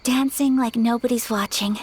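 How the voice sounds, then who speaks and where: An actress voicing a graceful, devoted young noblewoman in a video game, speaking softly, tenderly and formally. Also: fairly high pitch, fairly even intonation, normal speed, slight background noise, lightly acted. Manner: soft-spoken, formal and tender. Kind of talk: game voice acting. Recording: soft music behind the voice.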